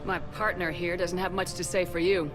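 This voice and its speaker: An actress playing a tough, level-headed military commander in a video game, brisk and direct. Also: female voice, fairly low pitch, flat delivery, music in the background, lightly acted. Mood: dry. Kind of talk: scripted video game acting.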